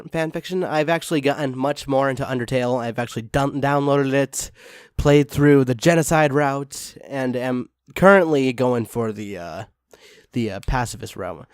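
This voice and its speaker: A man's voice: medium pitch, dry recording, studio quality. A man reading fan fiction casually with comic commentary, exasperated and given to swearing.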